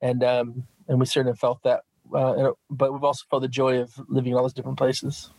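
A middle-aged man from Minnesota with an American Midwest accent, telling his story as a guest on an interview podcast in a lively, self-deprecating way. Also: mid-range voice, fast talker, no echo. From Minnesota, USA. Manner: animated, self-deprecating, lots of fillers. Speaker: vicar and guest.

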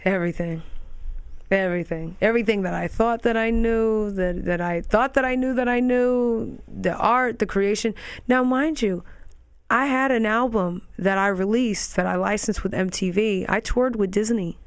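A young woman with a raspy voice, chatting as an interview guest and drifting into quick tangents and restarts. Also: mid-range voice, no echo. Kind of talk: casual interview chat.

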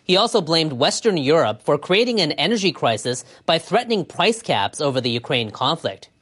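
Female news anchor with a low register, formal and measured, reading scripted international reports. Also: very animated, breathy edge.

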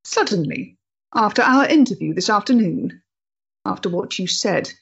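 An actress playing a proud, intense woman rebel of the Victorian era, measured, grave and dramatic, in a period stage play. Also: mid-range voice, expressive, gravelly, slightly rough, dull audio. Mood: cool, knowing.

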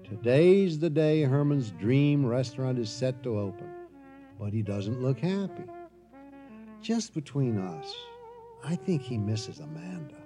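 A man's voice: medium pitch, very animated, measured pace, over music. A man narrating a radio comedy, telling the story in a wry, confiding way.

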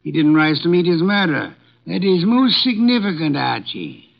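Fussy detective, gravelly, slightly high, haughty, precise, dull old radio sound. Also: male voice, big pitch swings, moderate pace. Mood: imperious. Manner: precise, haughty, clipped pronouncements. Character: fussy, imperious male detective, older and portly. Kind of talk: 1950s radio drama acting. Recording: dull lo-fi 1950s radio broadcast.

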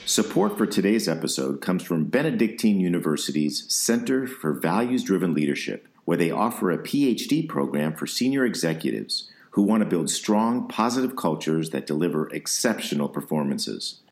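A male podcast host with a very deep voice. He reads the sponsor copy smoothly, then asks questions in an easy conversational way. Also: sing-song, normal speed, few pauses, a little mellow, faint music behind. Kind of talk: interview podcast.

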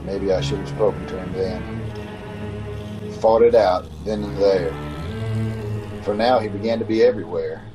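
A man's voice: lowish voice, steady tone, a little slow, pauses often, over music, lightly acted. A man playing a desperate, haunted driver, narrating a radio suspense drama in a dramatic, pleading manner. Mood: haunted, grave. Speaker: actor in an old-time radio troupe. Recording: amateur video call recording.

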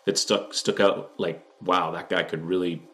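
A man with a low-pitched voice hosts a sports podcast. He asks questions casually and jokingly, in loose, slangy language. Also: little pitch movement, normal speed, creaky, fairly bright tone. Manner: informal, jokey, slangy. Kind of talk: casual interview chat.

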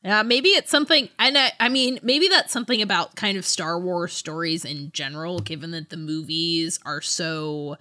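A woman podcast co-host in casual book discussion, animated and giggly, often interrupting herself. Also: lowish voice, normal speed, few pauses, no room sound, clean studio sound.

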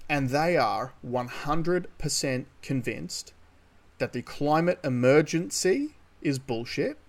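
A man's voice: on the high side, lively intonation, takes it slowly. An Australian man co-hosting a podcast, talking casually and opinionatedly in a sardonic way, with some casual swearing. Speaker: podcast co-host. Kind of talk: casual opinionated chat about news.

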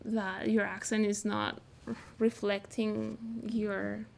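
A woman from Mexico speaks English with a Mexican accent, Spanish being her first language. Her voice carries a gravelly, fry-edged texture. She talks in a reflective, searching way, as a guest in a relaxed interview. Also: medium pitch, slow pace. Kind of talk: casual interview conversation.

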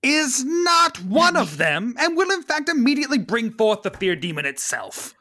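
A man's voice: extremely high pitch, sing-song, normal speed, bright, forceful tone, dry recording, studio quality, voice pushed up, exaggerated voice. A man playing a fussy, bookish British mentor in a script table read, precise and dryly exasperated. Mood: explanatory. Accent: put-on British.

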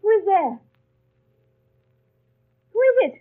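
An actress playing an earnest, reserved young governess in a 1930s radio drama, speaking and narrating in a grave, polite, restrained manner. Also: female voice, very high-pitched, big pitch swings, slow, exaggerated voice. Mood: alarmed. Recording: muffled, tinny 1930s radio broadcast.

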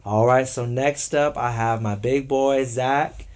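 A man's voice: mid-range voice, fairly even intonation, slow pace, clear, resonant tone. A man hosting a devotional podcast, speaking affectionately and encouragingly and often repeating his phrases. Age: adult, parent of three. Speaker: podcast host. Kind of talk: encouraging devotional talk with children.

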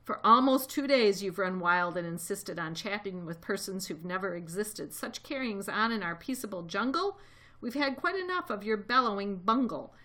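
A woman's voice: mid-range voice, lively intonation, normal speed, pushed and bright. A woman voicing a bossy, scornful big mother kangaroo from a storybook, in a haughty, scolding, snappish manner. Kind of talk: read-aloud storybook character voice.

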